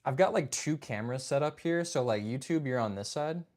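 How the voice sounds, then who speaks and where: A man's voice: medium pitch, lively intonation, fairly quick, darkish tone. Laid-back young man hosting a livestream. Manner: laid-back, chatty, says "man" and "dude"; reads chat and greets viewers by name. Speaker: livestream host. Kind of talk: casual livestream chat.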